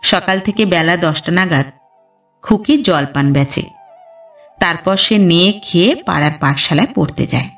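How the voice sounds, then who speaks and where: A woman reads a literary story as a scripted audiobook narration, in a measured storytelling delivery. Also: fairly low pitch, fairly bright tone, far from the mic. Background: background music.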